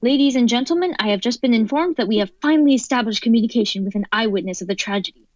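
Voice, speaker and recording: A woman's voice: on the high side, expressive, racing, darkish tone, no echo, close to an everyday voice. A woman playing an urgent, formal news announcer in a radio play. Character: brisk female radio news announcer relaying disaster bulletins. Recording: dull lo-fi sound, music behind.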